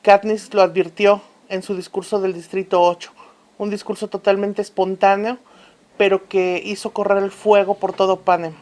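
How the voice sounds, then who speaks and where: An earnest actress playing a woman commentator in a scripted radio drama about a fictional republic. She speaks solemnly and with emphasis, repeating phrases deliberately as she reflects on history. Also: medium pitch, level tone, a little mellow, natural voice. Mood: solemn, urgent.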